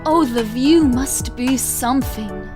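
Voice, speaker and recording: Woman acting gushing high-pitched glamorous flirt. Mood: flirty, teasing. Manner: effusive, teasing, drawn-out flourishes. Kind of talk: audio drama acting. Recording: music under the voice.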